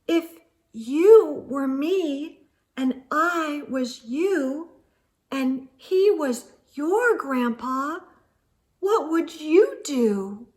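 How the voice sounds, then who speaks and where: A timid little schoolgirl from a storybook, as a woman reader plays her. She speaks softly and hesitantly in a high voice, earnest and careful with each word. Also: lively intonation, very slow, exaggerated voice. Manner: soft, hesitant but earnest. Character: earnest, timid second-grade girl with big ideas.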